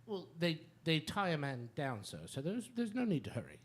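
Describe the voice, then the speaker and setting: An actor playing a conspiratorial criminal lieutenant in a radio drama, brisk, businesslike and dutiful. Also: male voice, fairly high pitch, wildly swooping pitch. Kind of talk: theatrical radio drama acting.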